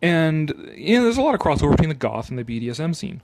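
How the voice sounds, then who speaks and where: Higher-voiced man reading aloud with commentary. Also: sing-song, quick pace, creaky, noisy background. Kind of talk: dramatic reading of an article with off-the-cuff commentary.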